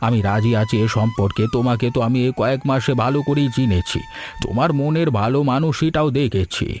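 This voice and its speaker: A man voicing a kindly, encouraging old village grandfather in an animated story, with a reassuring manner. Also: medium pitch, a little fast, soft background music. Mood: tender. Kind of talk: Bengali animated story dialogue.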